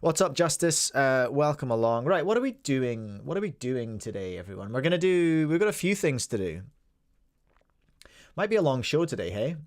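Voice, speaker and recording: A Scottish man in his forties hosts a casual live podcast, his voice sitting at an ordinary middle pitch. He rambles along in a loose, chatty way and greets people in the chat as he goes. The sound is dry and close, with no room around it. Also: big pitch swings, normal speed, no echo. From Scotland. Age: 40s. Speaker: podcast host.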